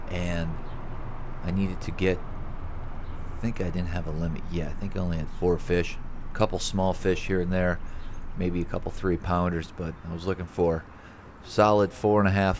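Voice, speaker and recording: Low-voiced man fishing from a boat. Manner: excited exclamations when hooking fish. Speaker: fishing vlogger. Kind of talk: casual fishing commentary. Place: open bass boat on a wide river outdoors. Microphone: boat-mounted action camera. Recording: outdoor wind and boat noise. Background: boat and water noise.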